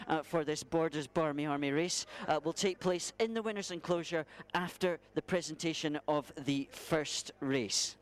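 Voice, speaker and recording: A man reporting live as a trackside interviewer at an outdoor sporting event. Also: fairly high pitch. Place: outdoors at a windy racetrack finishing line. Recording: noisy windy outdoor trackside ambience.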